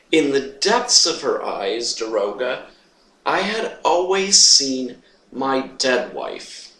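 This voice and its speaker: In a roomy, echoing space, a man acts out a tormented, lovesick Phantom with menace under his obsession. The voice is high for a man and swings widely, stretched out in theatrical, emphatic monologue. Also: bright, forceful tone, full character voice. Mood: fervent, reverent. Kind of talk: dramatic monologue reading. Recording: roomy echo, distant microphone.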